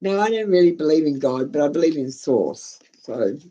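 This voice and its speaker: A woman's voice: sits low, animated, normal speed, dark tone, dull audio. A woman giving a casual live tarot reading, offering blunt, informal advice with some swearing. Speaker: tarot reader and live stream host.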